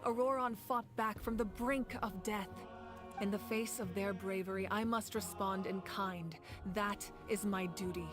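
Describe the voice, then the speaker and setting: A woman voicing a dignified, dutiful leader in a video game, measured, formal and authoritative. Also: medium pitch, steady tone, music in the background, lightly acted. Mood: solemn. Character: composed, resolute female leader, dutiful and dignified.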